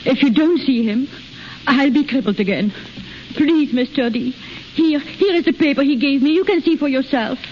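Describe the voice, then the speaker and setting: A woman's voice: sits high, moderate pace, natural voice. An actress playing a timid, plaintive young woman who pleads earnestly, in 1930s radio drama style. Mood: pleading. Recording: muffled 1930s radio, faint static.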